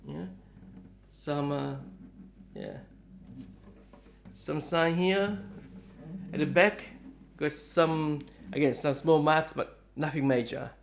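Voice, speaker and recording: A man's voice: a little high, very animated, slow pace, vocal fry, long pauses, slight background noise. Rambling, friendly middle-aged man host. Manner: rambling, friendly, many fillers and restarts. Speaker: guitar dealer and channel host. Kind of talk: casual chat to camera. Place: home in Melbourne, Australia.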